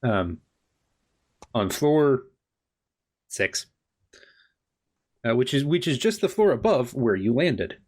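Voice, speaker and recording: A man's voice: medium pitch, very animated, takes it slowly, pauses often, a little mellow. A man acting as game master, improvising the game in casual banter with a playful, wry manner and often repeating himself.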